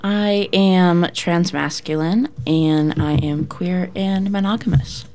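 A woman's voice: lowish voice, slow, warm tone. A woman in her early twenties introducing themself as a guest on a radio panel. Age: 20s. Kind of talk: informal panel talk. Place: radio studio.

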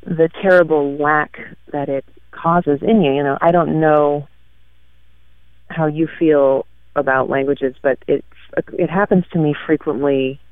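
A man talking about his work in a halting, thoughtful way, with many fillers, as a guest on an interview show, heard over a tinny line. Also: fairly high pitch, fairly even intonation, clean tone, no room sound. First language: French. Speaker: guest author. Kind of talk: casual interview chat. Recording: tinny phone-like radio line.

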